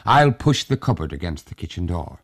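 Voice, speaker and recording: A man voicing a fussy, anxious old village grandfather who is pleading and given to loud, excitable bursts. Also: low-pitched, fairly quick, full character voice. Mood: reassuring. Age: older.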